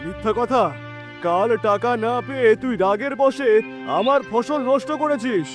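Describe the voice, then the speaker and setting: A man playing a cruel, quick-tempered village landlord who scolds and orders people about in a cartoon horror story. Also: extremely high pitch. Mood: furious, accusing. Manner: scolding, domineering, commanding. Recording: music behind.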